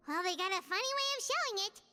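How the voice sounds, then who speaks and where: A woman's voice: very high voice, pushed and bright, slight background noise, exaggerated voice. A woman voicing a tiny, childlike floating fairy companion in a video game, chirpy, chatty and cheeky. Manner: chirpy, talks of self in third person.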